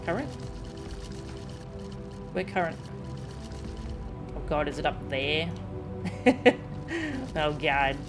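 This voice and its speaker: Expressive, swooping woman streaming a game. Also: low-pitched, extremely slow, pauses often. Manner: expressive, exclamatory. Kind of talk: casual live playthrough reactions, reading dialogue aloud. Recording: game music behind the voice.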